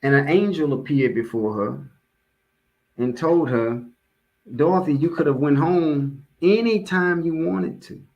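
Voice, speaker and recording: A man's voice: fairly high pitch, measured pace, creaky, muffled recording. An American man giving an informal motivational sermon, repeating key phrases for emphasis and checking in with his listeners conversationally. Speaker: religious speaker giving a public address.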